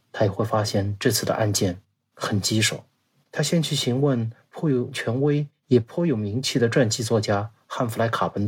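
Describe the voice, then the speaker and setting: A man's voice: mid-range voice, slightly rough. A man speaking as a podcast guest, giving a scripted, storytelling narration.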